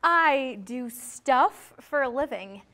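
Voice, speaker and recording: A woman chatting casually as a guest, with a bubbly, excitable, giggly way of talking. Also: sits high, takes it slowly. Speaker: guest, studio production coordinator. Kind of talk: casual chat.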